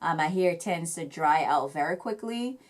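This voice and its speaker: A woman's voice: sits low, animated, normal speed. Chatty Jamaican-accented woman vlogging. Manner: chatty, many fillers and restarts. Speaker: hair vlogger. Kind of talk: casual hair care chat to camera.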